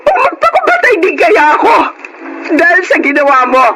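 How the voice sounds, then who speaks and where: A very high, swooping voice for a wounded, indignant Filipino wife in a radio drama. She is long-suffering and delivers every line with dramatic emotion. The recording is clearly noisy. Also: wildly swooping pitch, normal speed, heavily acted. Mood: anguished, accusing. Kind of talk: Tagalog radio drama acting.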